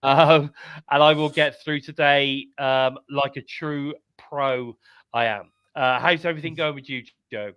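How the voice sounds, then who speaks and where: British male podcast host, stuffed-up nose, bright upbeat voice, dry close mic. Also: mid-range voice, steady tone, a little slow, smooth, no room sound, dull audio. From United Kingdom. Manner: chatty, upbeat, repeats calls to subscribe. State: congested, bunged up. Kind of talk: live wrestling podcast chat.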